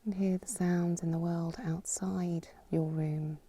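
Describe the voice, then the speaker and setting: A woman yoga nidra teacher giving guided meditation in a soft, breathy, half-whispered voice, calm and soothing, with long pauses between instructions. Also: fairly low pitch, no echo.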